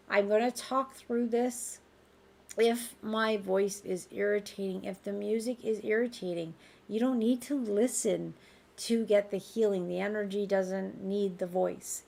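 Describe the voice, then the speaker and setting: A woman with a raspy voice hosting a live group healing call. She speaks in a gentle, reassuring and informal way. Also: medium pitch. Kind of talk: live-streamed conversational healing talk. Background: faint background music.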